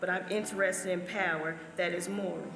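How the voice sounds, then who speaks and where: A woman's voice: lowish voice, fairly even intonation, moderate pace, creaky. A woman reading out short famous quotations as a church member. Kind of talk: recited quotations. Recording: echoey hall, faint music. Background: faint music.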